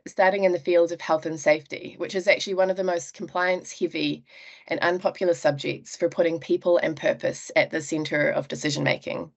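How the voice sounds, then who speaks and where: A woman introducing a speaker as host of a webinar, in a measured, formal way, on a video call. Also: on the low side, dull audio. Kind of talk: scripted introduction.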